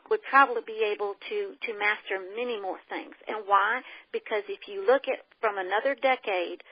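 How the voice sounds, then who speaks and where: A woman from the United States speaking as a guest on a conference call, explaining her views in an emphatic, opinionated way with folksy turns of phrase. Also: medium pitch. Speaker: guest speaker, education activist. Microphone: telephone. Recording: dull telephone conference-call line.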